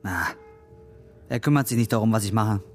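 An actor playing a fanatical born-again ex-convict in a radio drama, preachy and menacing. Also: male voice, fairly low pitch, normal speed, soft background music, close to an everyday voice. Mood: coolly menacing.

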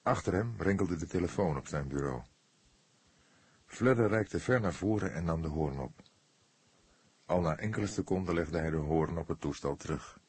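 A man with a husky voice reading a detective novel aloud as scripted narration. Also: sits low, normal speed, long pauses, darkish tone. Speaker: audiobook narrator.

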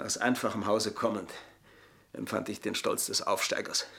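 An older actor playing an embittered, boastful manservant in a German radio play, speaking in a florid, mocking, theatrical way. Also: male voice, fairly low pitch, little pitch movement, normal speed, creaky, a bit bright. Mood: bitter, confiding. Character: aging, embittered, boastful manservant taunting his mistresses.

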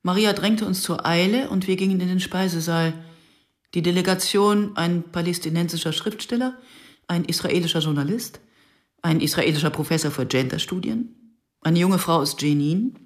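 A woman's voice: lowish voice, moderate pace, natural voice. An actress playing a wry, self-questioning Israeli woman writer who narrates a radio drama in a dry, reflective, intimate way. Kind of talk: radio drama acting with first-person narration.

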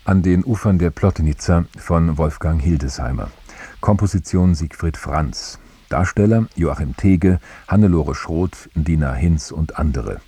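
Male radio announcer, really low mellow voice, formal scripted read. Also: unhurried, husky, no room sound.

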